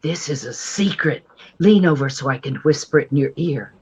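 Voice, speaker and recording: Woman playing a coy, husky frontier flirt. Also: low-pitched, moderate pace, darkish tone, dull audio. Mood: flirtatious, confiding. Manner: coquettish, playful, mock-innocent. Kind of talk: old-time western radio drama acting.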